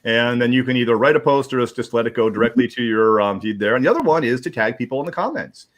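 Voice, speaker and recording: A man's voice: medium pitch, lively intonation, quick pace. A man hosting a livestream in casual, rambling talk, often repeating words as he goes. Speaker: host of a livestream. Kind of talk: casual chat.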